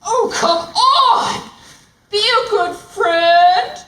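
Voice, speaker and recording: A woman's voice: very high-pitched, expressive, extremely slow, full character voice. A woman voicing a hungry, scheming, bumbling male lion in a children's puppet show, stammering and wheedling, recorded in an echoey room. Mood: exasperated. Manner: stammering slips, growly exasperation. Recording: roomy, distant mic, noisy.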